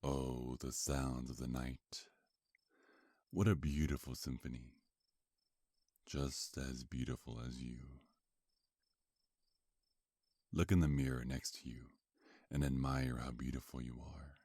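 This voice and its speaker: A man playing a seductive, possessive vampire mafia boss in an ASMR roleplay, with a taunting, drawn-out, intimate murmur. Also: rumbling low, little pitch movement, warm tone, no room sound. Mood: smugly admiring.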